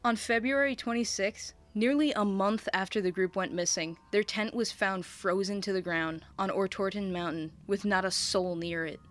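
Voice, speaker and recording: A woman's voice: medium pitch, fairly even intonation, normal speed, hardly any pauses. A woman narrating a scripted mystery podcast as a storyteller. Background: background music.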